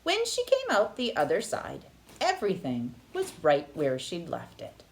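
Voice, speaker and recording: A woman narrating a children's picture book aloud with expressive, dramatic phrasing. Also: on the low side, measured pace, gravelly. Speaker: read-aloud storyteller.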